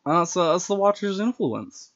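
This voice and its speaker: A man's voice: sits high, very animated, normal speed, fairly bright tone, dull audio. A man streaming online, who reads his own stories aloud and chats casually. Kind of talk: story narration and casual livestream chat.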